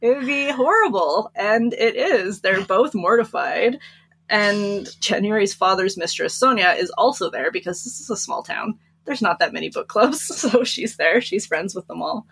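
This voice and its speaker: A woman's voice: mid-range voice, animated, moderate pace, fairly bright tone, husky. A giggly, chatty woman co-hosting a book podcast and casually recapping stories.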